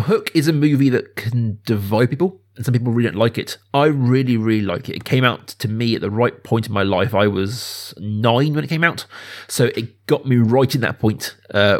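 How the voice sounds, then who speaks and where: A man around his thirties or forties hosting a casual film discussion, talking enthusiastically in a halting, discursive way. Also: on the low side. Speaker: podcast host.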